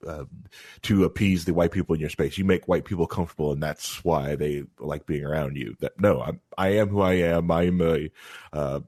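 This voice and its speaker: A man with a very low, bass-heavy voice takes part in a casual podcast discussion. He talks in a relaxed, thoughtful way, with plenty of fillers. The recording is dry and close. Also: no echo.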